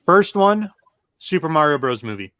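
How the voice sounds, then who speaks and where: A man with a fairly high, very lively voice gives his opinions as a panelist in casual chat. He gripes emphatically and restarts often, recorded dry. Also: smooth, no room sound. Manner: emphatic, griping, halting restarts. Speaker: panelist on a video game movie show.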